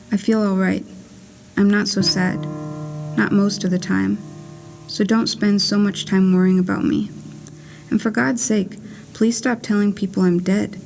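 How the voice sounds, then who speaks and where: A woman plays a wry, whimsical letter writer speaking from beyond, in a poetic puppet-theater monologue. Her mid-pitched voice has a clear creak at its edges. She reads deliberately and with measure, over faint music. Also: darkish tone. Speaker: writer and narrator reading her play. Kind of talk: scripted poetic monologue, letter read aloud.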